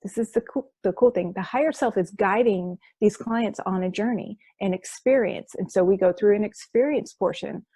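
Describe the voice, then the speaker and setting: A woman's voice: mid-range voice, normal speed, few pauses, mellow, slightly rough, no room sound. A woman who works as a hypnosis practitioner, explaining her sessions as a guest in an interview, enthusiastic and often restarting her phrases.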